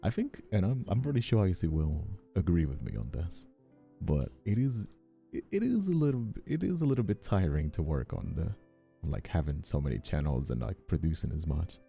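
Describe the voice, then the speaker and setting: Man in his twenties, mature gravelly low voice, expressive swings, casual stream chat, music underneath. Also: moderate pace. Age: 20s. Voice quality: mature-sounding, older than his years. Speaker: ASMR streamer and voice actor.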